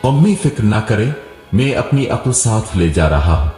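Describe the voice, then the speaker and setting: A foolish, self-assured young villager as a male storyteller plays him in a storybook tale. He philosophizes with earnest pomp in a low, animated voice, with echo around it. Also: moderate pace. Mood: earnest, pompous. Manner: earnest, pompous. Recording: roomy echo with long reverb, music behind.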